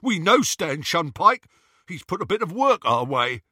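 A man acts out a rough, sly storybook henchman. The pitch is pushed high and the tone is bright and forceful, full of jeering mockery. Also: very animated, measured pace, dry recording, studio quality, full character voice. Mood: scornful. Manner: mocking, taunting.

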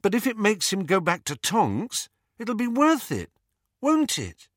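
A man's voice: medium pitch, very animated, measured pace, bright, forceful tone, no echo, studio quality, exaggerated voice. A man voicing a hot-headed, accusing teenage boy in an audiobook story. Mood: defensive, pleading.

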